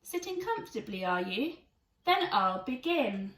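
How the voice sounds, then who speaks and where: A woman's voice: fairly high pitch, very animated, measured pace, a bit bright. A woman acts out an unflappable policeman from a children's storybook. The delivery is hearty, bold and teasing. The sound is roomy and a little distant. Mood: cool, teasing. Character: hearty, unflappable policeman hero, Officer Pugh. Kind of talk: storybook read-aloud.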